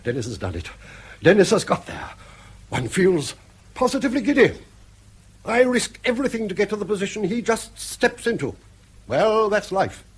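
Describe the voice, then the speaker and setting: Blustering old British grandfather, husky, swooping. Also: high voice, big pitch swings, moderate pace, long pauses, heavily acted. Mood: grumbling, sardonic. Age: older. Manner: blustering, sardonic, garrulous. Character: bankrupt, blustering, needling old grandfather, unashamed and argumentative. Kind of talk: British radio drama acting.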